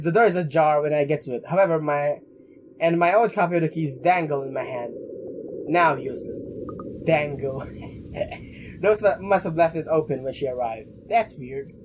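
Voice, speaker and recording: A young man doing a let's play, haltingly reading game text aloud with casual commentary. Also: fairly high pitch, darkish tone, slight background noise, low-quality recording. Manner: halting, stumbles while reading.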